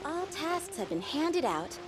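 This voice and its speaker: A woman's voice: very high-pitched, sing-song, a little slow, loud music behind the voice, full character voice. An actress playing a languid, dreamy townswoman in scripted video game dialogue.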